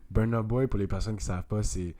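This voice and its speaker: A man with a very low, dark and relaxed voice and a Quebec French accent. He talks about films casually, in colloquial slang, as a podcast guest. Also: a little fast. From Canada. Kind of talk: casual film discussion.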